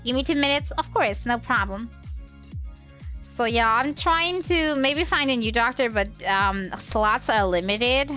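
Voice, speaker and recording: A woman's voice: fairly high pitch, a little slow, bright, forceful tone, faint music behind. A woman livestreamer with a slight cold, chatting casually in a hesitant, rambling way.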